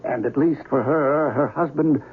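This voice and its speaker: An actor playing a grave, learned investigator of the supernatural in a radio mystery, speaking formally and deliberately. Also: male voice, mid-range voice, lightly acted. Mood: grave, knowing. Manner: precise, formal, deliberate, theatrical. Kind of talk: radio mystery drama acting. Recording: noisy dull radio broadcast recording.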